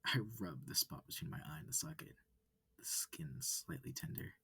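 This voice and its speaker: A man softly narrating a visual novel in a cozy, halting, often breathy reading. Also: mid-range voice, huge pitch swings, no room sound. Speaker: reader.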